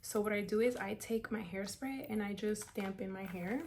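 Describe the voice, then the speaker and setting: A woman's voice: mid-range voice, steady tone, normal speed, a little mellow, slight background noise. Chatty young woman vlogger. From South Florida. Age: 20s. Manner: chatty, upbeat, lots of "like" and "kinda". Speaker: beauty vlogger. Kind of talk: casual hair tutorial vlog.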